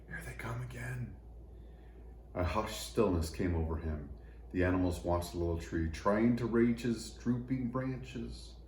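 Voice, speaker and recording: A man reading a children's story aloud in an expressive storytelling manner. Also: lowish voice, measured pace. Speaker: storybook reader for church children. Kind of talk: scripted children's story narration. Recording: echoey room, faint background noise.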